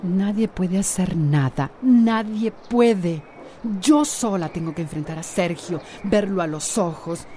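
Fragile, anxious radio-drama heroine, Costa Rican accent, slow pleading delivery, noisy ambient background. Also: female voice, fairly low pitch, lively intonation, mellow. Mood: distressed, anxious. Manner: faltering, pleading. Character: anxious, fragile woman facing her abusive past.

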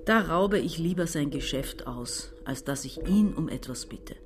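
A woman's voice: deep voice, animated, a little slow, noisy background. An actress playing a resentful, defiant daughter in her forties giving a statement in a radio crime play. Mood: bitter, defiant. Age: 40s. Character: resentful, defiant daughter testifying about robbing her father.

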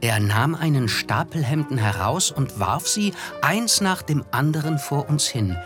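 An actor narrating a literary radio play as an observant, wistful friend, in a measured, contemplative manner. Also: male voice, on the high side, animated, over music.

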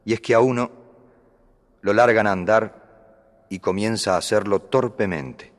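A low male voice rings through long reverb. He recites whimsical prose poetry deliberately, placing dramatic emphasis on his words. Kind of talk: expressive recitation of humorous poetic prose. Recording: long reverberant hall sound.

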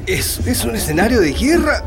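A man's voice: very high-pitched, sing-song, moderate pace, vocal fry, music in the background, exaggerated voice. An actor playing a grim, determined man searching among bodies with a companion in a radio drama. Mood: shocked, determined.

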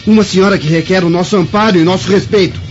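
An actor playing a protective, indignant brother in a radio melodrama, formal and righteous. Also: male voice, sits high, fairly even intonation, fast, natural voice. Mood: indignant, dignified. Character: honourable, protective brother, dignified and indignant Roman. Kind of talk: melodramatic radio drama acting. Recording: dull echoey radio drama, music behind.

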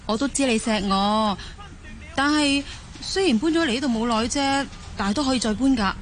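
女聲演員演繹不滿又直率嘅高音年輕太太. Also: female voice, on the high side, normal speed, a little hiss or hum, natural voice. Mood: doubting, plaintive. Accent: Cantonese. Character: discontented, outspoken young migrant wife and mother from the mainland. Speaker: voice actor in an audio drama. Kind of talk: Cantonese radio drama dialogue.

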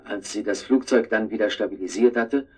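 A low-voiced, guilt-ridden man in a radio drama. His voice is husky and worn. He sounds groggy and hungover, and his words come heavily and haltingly. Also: darkish tone. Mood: sombre, confessing. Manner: halting, heavy-tongued, weary. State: groggy, hungover after drink and pills. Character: guilt-ridden, cowardly man dictating a murder confession. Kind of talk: German radio drama acting.

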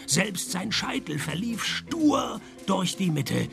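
An actor playing a sardonic, hard-drinking male private detective in a radio play, deadpan in wit. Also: high voice, slow pace, gravelly, music in the background, heavily acted. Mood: wry narration. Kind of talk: scripted noir parody narration and dialogue.

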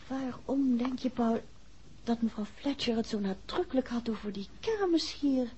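An actress playing a curious, poised and affectionate detective's wife in a 1960s radio play. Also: female voice, a little high, lively intonation, moderate pace, warm tone, close to an everyday voice. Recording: dull, hissy old radio recording.

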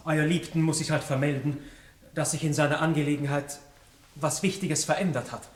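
A man's voice: a little high, little pitch movement, brisk, natural voice. A man playing an ardent, chivalrous young nobleman in love, speaking lyrical verse in a radio play. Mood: firm.

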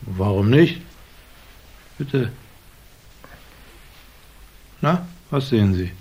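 A man's voice: on the low side, animated, very slow, darkish tone, slightly rough, exaggerated voice. A man acting in a German crime radio drama as a suave, scheming senator who speaks formally, controlled and politely probing.

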